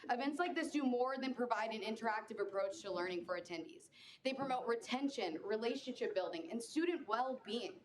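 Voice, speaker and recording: A woman's voice: fairly high pitch, lively intonation, a little fast, crowd noise. A young woman delivering a formal, rehearsed persuasive speech in favor of a funding bill. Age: young adult. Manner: formal, rehearsed, polished cadence. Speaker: student speaker for a funding bill. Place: student senate meeting room.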